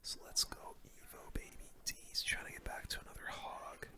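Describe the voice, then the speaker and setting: A gaming host doing ASMR commentary in a soft, breathy whisper, with casual gamer slang, close to the microphone. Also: normal speed.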